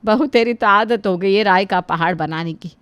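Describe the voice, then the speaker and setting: A woman's voice: medium pitch, normal speed, fairly bright tone, clear, resonant tone, no room sound, dull audio, lightly acted. A woman voicing an aging, put-upon mother-in-law in a family drama, who scolds and sighs to God about her treatment. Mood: aggrieved. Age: older. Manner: wounded, scolding, sighing to God. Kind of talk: Hindi family drama voice acting.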